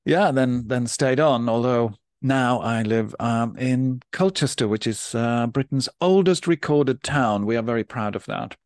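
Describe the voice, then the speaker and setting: A man from Norway hosts a podcast interview. He sounds measured and polite, often restarting his sentences as he frames each question. The recording is dry and close, with no room sound around him. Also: mid-range voice, darkish tone, no room sound. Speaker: podcast host and debate coach.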